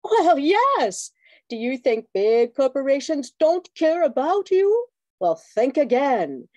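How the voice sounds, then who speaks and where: A woman's voice: high voice, sing-song, slow pace, a little mellow, no room sound, exaggerated voice. A woman playing a dramatic, salesy cigarette announcer with a bitter streak in an old-time radio commercial. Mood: dramatic sales pitch. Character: smooth cigarette ad announcer woman, wistfully bitter about exes. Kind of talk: 1940s radio commercial acting.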